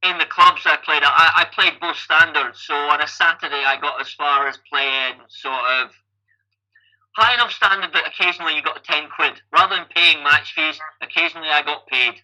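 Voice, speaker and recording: Bright-voiced Scottish man telling stories. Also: fairly high pitch, normal speed, low-quality recording. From Scotland. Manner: chatty, repeats words when starting sentences. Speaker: guest athlete and coach. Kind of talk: casual interview storytelling.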